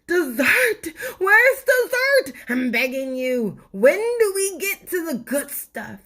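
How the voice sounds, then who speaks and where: A woman puts on a bossy, sugar-craving storybook tooth with a high voice. It wheedles and wails melodramatically, its pitch leaping as it pleads and complains. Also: very animated, slow pace, fairly bright tone, heavily acted. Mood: indignant begging.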